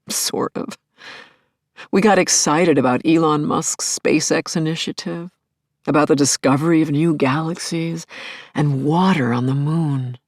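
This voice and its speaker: A woman playing a middle-aged grieving widow in an audio play, telling her story as an intimate, expressive and reflective monologue. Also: deep voice, a little slow, dry recording, clean studio sound. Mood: animated, reflective. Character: grieving widowed scientist, wistful, wry, tender, anxious. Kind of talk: intimate dramatic first-person monologue.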